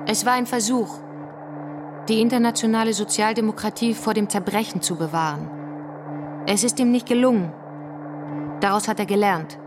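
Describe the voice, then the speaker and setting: A woman's voice: mid-range voice, normal speed, long pauses, music in the background, lightly acted. An actress playing a fervent revolutionary woman in a German radio drama, arguing in a scornful, didactic way. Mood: combative, lecturing. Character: fervent, combative revolutionary woman defending the workers' councils. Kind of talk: German radio drama dialogue, polemical debate.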